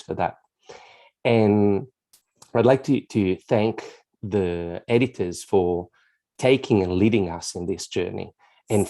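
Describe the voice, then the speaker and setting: A man moderating an online book launch, giving earnest, formal closing thanks with some halting restarts. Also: deep voice, warm tone. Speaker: event moderator. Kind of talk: closing remarks at an online event.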